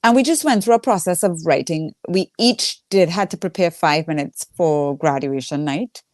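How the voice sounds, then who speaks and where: A woman's voice: fairly low pitch, normal speed, a little mellow, no room sound, clean studio sound. A woman with a thick Trinidadian accent speaking candidly in a casual interview as a stand-up comedian, acting out reactions as she tells stories.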